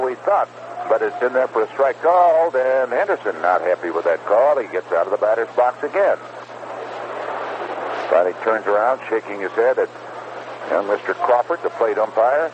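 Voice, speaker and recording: A man with an American accent calls baseball play-by-play on radio in an unhurried, descriptive way that lifts on the action. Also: fairly low pitch. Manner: unhurried descriptive delivery, excited on plays. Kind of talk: live radio sports commentary. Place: outdoor ballpark broadcast booth. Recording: noisy, muffled 1970s radio broadcast. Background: loud noise and outdoor ambience.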